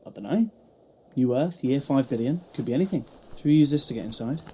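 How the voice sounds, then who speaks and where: A man acts an intuitive, wandering time traveller. The voice is medium in pitch, casual and curious, in sci-fi audio drama style. Faint noisy ambience sits behind it. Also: lively intonation, fast, warm tone, close to an everyday voice. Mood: curious, breezy. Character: intuitive, breezy time-travelling alien adventurer.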